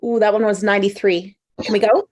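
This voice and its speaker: A woman's voice: mid-range voice, steady tone, fairly quick, a little mellow, no echo, close to an everyday voice. A woman playing a spirited, self-doubting woman in a comedy script read, in a natural conversational manner. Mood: playful. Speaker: actor in an online script table read. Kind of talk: live comedy screenplay table read.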